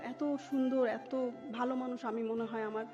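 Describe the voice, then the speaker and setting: A woman's voice: fairly high pitch, level tone, moderate pace. A woman with a Bangladeshi accent telling a personal story in an interview, mixing English words and phrases into her talk. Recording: muffled audio with music underneath. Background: music.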